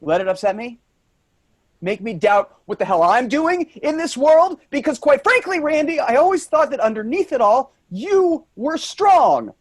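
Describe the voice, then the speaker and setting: A man's voice: very high voice, lively intonation, measured pace, heavily acted. A man playing an angry, grieving office worker in a staged play reading, blunt and sarcastic. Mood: angry, disbelieving.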